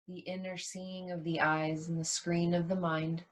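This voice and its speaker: Slow, even-toned woman guiding meditation. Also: fairly low pitch. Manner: soothing, unhurried, with long pauses between short phrases. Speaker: yoga nidra meditation teacher. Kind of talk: guided meditation.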